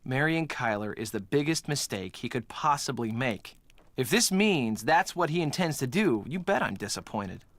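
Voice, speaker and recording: A man's voice: mid-range voice, animated, normal speed, a bit bright, no echo. An actor playing a frustrated, lovelorn young man in a video game, earnest and defensive. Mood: upset, disappointed.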